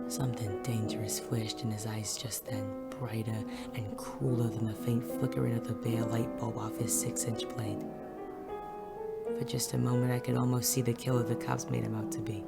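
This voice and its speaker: Woman playing a low-voiced, sardonic noir male detective. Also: creaky. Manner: deadpan, sardonic. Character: wry, gruff male noir police detective, narrating his case. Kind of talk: noir audio drama dialogue and first-person narration. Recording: music behind the voice.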